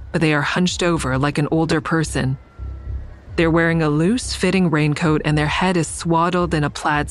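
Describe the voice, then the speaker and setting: A woman playing a guarded, tense heroine in an audio drama, telling her story in a measured, deliberate, suspenseful way. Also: low-pitched, natural voice. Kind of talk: first-person audio drama narration and dialogue.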